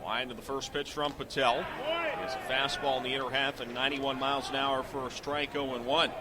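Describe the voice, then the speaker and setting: A middle-aged man calling live sports on the radio as a play-by-play announcer, in measured, clipped phrases. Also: mid-range voice, flat delivery, heavy background noise.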